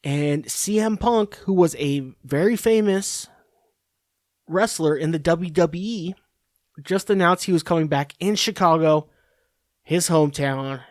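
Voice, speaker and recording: A man's voice: a little high, measured pace, no room sound, clean studio sound. A man hosting a podcast, ranting in a profane, rambling, sarcastic monologue. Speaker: podcast host.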